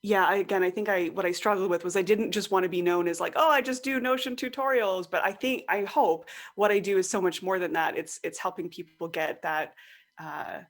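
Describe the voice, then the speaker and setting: A woman's voice: lowish voice, a little fast. A woman talking casually as an interview guest, animatedly acting out imagined remarks as quotes. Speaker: podcast guest, online course creator and tutorial maker.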